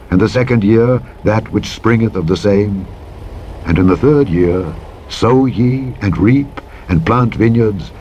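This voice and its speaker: Male scripture narrator, lowish pitch, solemn and formal read, light truck noise underneath. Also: darkish tone, poor audio. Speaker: audiobook Bible narrator. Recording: vehicle engine noise behind the voice. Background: truck driving sounds from a simulator game.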